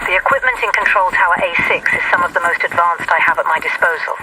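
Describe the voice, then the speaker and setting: A female resistance dispatcher from a sci-fi game, brisk and wry. She speaks in clipped, businesslike lines over a radio link. The transmission sounds distant and is swamped in loud noise. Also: lowish voice, steady tone. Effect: radio transmission filter. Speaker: mission-giving game character. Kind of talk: scripted radio briefing in a game.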